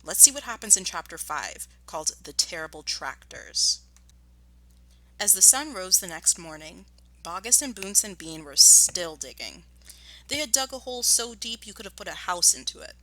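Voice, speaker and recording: Bright-voiced woman teacher reading aloud. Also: lowish voice, expressive, normal speed, low-quality recording. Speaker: primary school teacher. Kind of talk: storybook read-aloud lesson.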